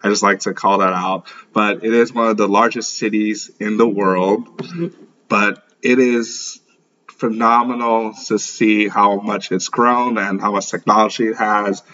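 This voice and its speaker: A man's voice: on the low side, fairly even intonation, measured pace, fairly bright tone. A man talking casually and conversationally as he hosts a solo podcast. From born in Lagos, Nigeria; grew up in St. Louis, USA. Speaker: podcast host. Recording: dull, muffled lo-fi podcast audio.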